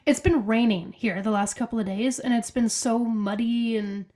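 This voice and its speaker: A woman's voice: a little high, moderate pace, dark tone. A young woman with a cold and a stuffy, congested voice, co-hosting a casual podcast from her bedroom over a video call, chatty and upbeat with lots of fillers. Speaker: podcast co-host. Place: bedroom at home.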